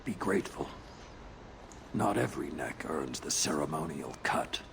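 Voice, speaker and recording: An actor playing a stern, cold warrior commander in a video game, speaking in a formal, measured, commanding way. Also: male voice, very deep voice, lively intonation, vocal fry, background noise, exaggerated voice. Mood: cold, solemn. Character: stern horned warrior commander, cold, rigid, solemnly menacing.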